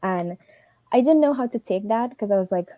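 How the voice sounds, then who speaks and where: Woman giving quick earnest advice. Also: mid-range voice, lively intonation, dark tone, dry recording, muffled recording. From Trinidad and Tobago. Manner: fast, earnest, advising directly. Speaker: English teaching assistant. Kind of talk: livestream advice talk.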